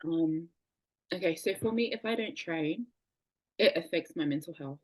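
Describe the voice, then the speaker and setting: Low-voiced hesitant young woman. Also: normal speed, no echo, low-quality recording. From New Zealand. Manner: soft, hesitant, many fillers. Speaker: guest. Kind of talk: casual interview over a video call.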